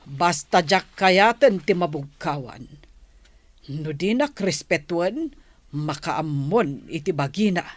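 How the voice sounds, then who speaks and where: A woman's voice: fairly low pitch, lively intonation, slow, no echo. An actress playing a cold, impatient, scornful woman in a radio drama, curt and disdainful. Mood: resentful. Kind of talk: Ilocano radio drama acting.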